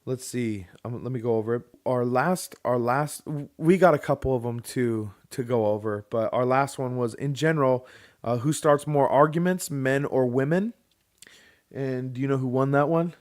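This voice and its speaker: A man hosting a podcast in casual conversation, speaking in a halting, hesitant way with dry joking. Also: mid-range voice, darkish tone. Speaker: podcast host.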